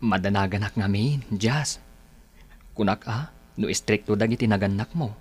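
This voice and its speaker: An earnest young suitor in a radio love story. His voice is lowish and rough-edged, his manner polite and smitten. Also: normal speed. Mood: respectful.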